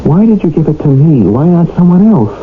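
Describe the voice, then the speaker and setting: Haunted desperate man, highish voice, pleading, melodramatic, lo-fi noisy radio recording. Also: very animated, fairly quick. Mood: pleading, frantic. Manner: pleading, intense. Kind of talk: melodramatic radio drama acting. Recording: dull, faintly noisy old radio broadcast.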